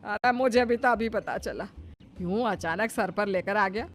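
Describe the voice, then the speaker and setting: A woman's voice: mid-range voice, normal speed, close to an everyday voice. A woman voicing an ailing, possessive older mother in a cartoon story, complaining emphatically. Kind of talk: cartoon moral-story dialogue.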